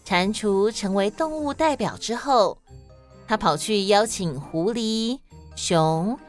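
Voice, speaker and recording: A woman's voice: medium pitch, expressive, slow pace, soft background music. A woman with a Taiwanese accent hosting and narrating stories expressively for children. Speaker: host and storyteller of a children's podcast.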